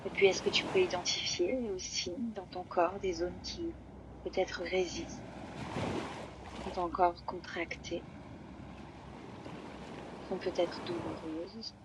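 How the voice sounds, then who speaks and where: A woman teaching yoga nidra, leading a guided relaxation slowly, with long pauses between phrases. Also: lowish voice. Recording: loud steady ocean-wave noise behind voice. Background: sound of sea waves.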